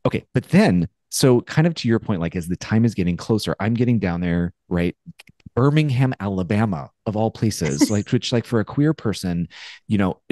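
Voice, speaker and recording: A man in his forties co-hosting a podcast over a video call, telling a story in a casual, animated way and acting out his own thoughts. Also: fairly low pitch, moderate pace, no echo, clean studio sound. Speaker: podcast co-host. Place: home studio with brick wall. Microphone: headphones and studio mic on a video call.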